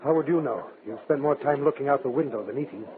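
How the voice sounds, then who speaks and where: Actor as brisk, needling, suspicious male comrade. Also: medium pitch, lightly acted. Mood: suspicious, probing. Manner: curt, needling. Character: suspicious, irritable male communist party comrade, probing and officious. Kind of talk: 1950s radio drama acting. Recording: thin, tinny old radio recording.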